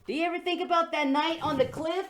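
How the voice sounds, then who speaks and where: A woman's voice: very high voice, steady tone, fast talker, fairly bright tone, a little hiss or hum. A woman playing a bold, playful former rocker who bosses and teases her friend, in an audio drama. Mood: playfully bossy. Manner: bossy, teasing, exuberant. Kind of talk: sci-fi romance audio drama.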